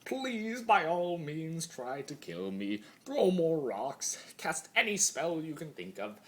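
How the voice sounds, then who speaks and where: A man's voice: fairly high pitch, huge pitch swings, slow pace, voice pushed up, full character voice. A man voicing a mad, immortal chaos spirit in a theatrical dramatic monologue, torn between glee and brooding. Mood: manic glee. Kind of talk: dramatic fan-fiction monologue reading.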